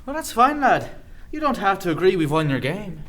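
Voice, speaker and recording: An actor playing a roguish, good-humored starship commander in a sci-fi radio play, with folksy, hesitant speech. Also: male voice, sits high, sing-song, normal speed, a bit bright. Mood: breezy.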